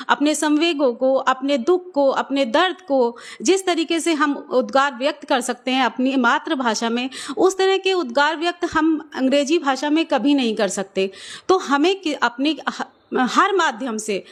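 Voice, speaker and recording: A middle-aged woman with a high voice speaks as a schoolteacher guest. She answers earnestly and persuasively, pausing now and then on fillers. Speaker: government school teacher, guest.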